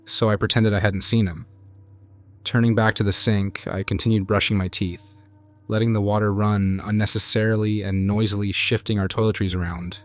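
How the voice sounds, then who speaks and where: Low-voiced man narrating eerie tales. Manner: calm, measured, hushed storytelling. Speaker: narrator reading viewer stories. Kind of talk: scripted horror story narration.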